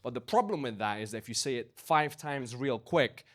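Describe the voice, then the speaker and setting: A man's voice: medium pitch, lively intonation, normal speed, fairly bright tone. A man from Romania with a Glaswegian accent doing a punchy stand-up set in a basement club. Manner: punchy, swings between voices. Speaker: stand-up comedian.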